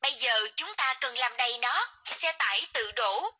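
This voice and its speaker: A woman's voice: a little high, animated, moderate pace, bright, forceful tone. A woman narrating scripted, encouraging instructions for a children's game.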